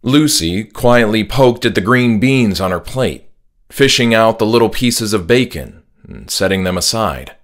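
Low-pitched male horror audiobook narrator. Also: lively intonation, unhurried. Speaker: author narrating his own book. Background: faint music.

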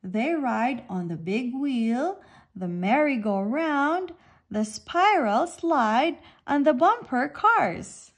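A woman reading a children's picture book aloud in an expressive, deliberate and emphatic way. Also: high-pitched. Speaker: storybook reader. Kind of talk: animated children's read-aloud narration.

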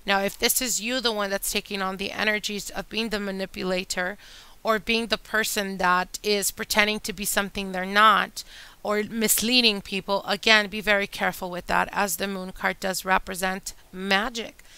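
A woman reading tarot cards for her channel, chatty and addressing viewers directly, her voice a little hoarse from a long day. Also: medium pitch, flat delivery, moderate pace, dry recording, low-quality recording. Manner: chatty, warm address to viewers, whispers during shuffling. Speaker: tarot reader. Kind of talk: casual tarot reading monologue. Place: indoor table with candles and decor.

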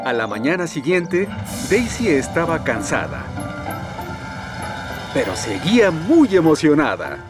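A man's voice: fairly high pitch, wildly swooping pitch, unhurried, pauses often, over music. A man with a Latin American accent narrating a children's train cartoon as a storyteller. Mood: storytelling.

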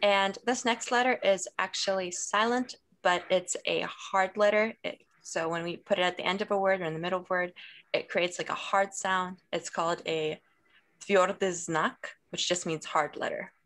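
A woman's voice: fairly low pitch, fairly even intonation, moderate pace, a bit bright. A young woman teaching the Russian alphabet on a video call, friendly and laughing easily. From Kyrgyzstan (family). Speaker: student language presenter. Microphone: video call laptop mic.

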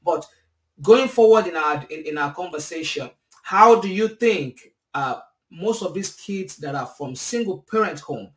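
A man's voice: on the high side, expressive, measured pace, distant mic. Earnest male host on a webcam, set back from the mic in an echoey room. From Nigeria. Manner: earnest, gestures while talking. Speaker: podcast host. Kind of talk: interview podcast. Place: home room, indoors. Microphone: video call webcam.

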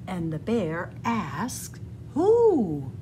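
A woman reading a picture book aloud in an animated, deliberate, sing-song storytelling style. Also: mid-range voice, noisy background. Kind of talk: expressive children's read-aloud storytelling. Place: indoors, room with bookshelves.

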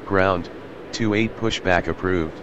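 Low-voiced businesslike airline pilot voiced by a man. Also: slow pace, lo-fi sound. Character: businesslike male airline pilot answering the tower. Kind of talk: clipped aviation radio readbacks. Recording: aircraft noise behind.

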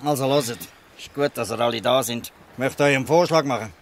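An actor playing a gruff but good-hearted old fisherman in a Swiss German dialect radio play, scolding and exclaiming. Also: mid-range voice, brisk, slight background noise, close to an everyday voice. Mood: calm, curious. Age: older.

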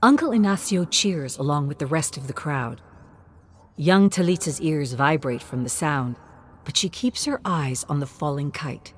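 A woman narrates a story with a slightly low voice that rises and falls widely. Her delivery is dramatic and deliberate, stressing key phrases. There is some noise and ambience behind her. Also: expressive. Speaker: narrator of game lore. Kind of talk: expressive scripted story narration.

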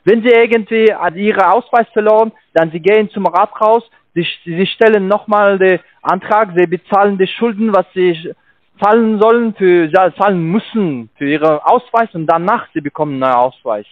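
A man with a foreign accent in German, a call-centre agent arguing on the phone in a halting, insistent way. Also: high-pitched, no room sound, muffled recording. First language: not German. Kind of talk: unscripted phone sales argument. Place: on a telephone line.

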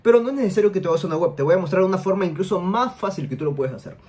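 A young man hosting a screen-share tutorial from a room at home, explaining quickly and tagging his sentences with questions to the viewer. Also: high voice, expressive, a little mellow. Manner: rapid explainer, tag questions. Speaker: money-making YouTuber. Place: home room, seated in gaming chair.

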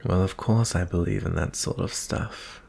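A man playing a gentle, weary young prince in a fantasy ASMR roleplay, speaking softly and intimately in a breathy, husky voice with frequent pauses. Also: deep voice, exaggerated voice. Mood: wistful. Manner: slow, hushed, pausing often.

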